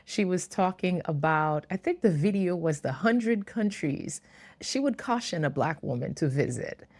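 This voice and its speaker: A woman's voice: on the low side, animated, a little slow, darkish tone. A woman in her fifties, for whom English is not a first language, telling a personal story to camera in an earnest, reflective way with light laughs. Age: 50s. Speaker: YouTuber.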